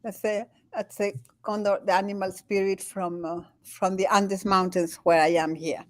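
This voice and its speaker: An older woman from Chile with a Chilean Spanish accent, talking in an interview as a guest. Her native language is Spanish, and she speaks haltingly, with many restarts and frequent laughs. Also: medium pitch, level tone, no room sound. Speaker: guest, spiritual teacher. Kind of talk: conversational interview. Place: home in the Andes.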